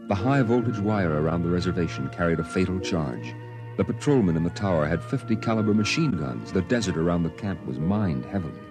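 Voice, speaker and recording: A man playing a somber storyteller recalling his boyhood, grave and measured, in 1950s radio drama narration. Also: low voice, animated, music in the background.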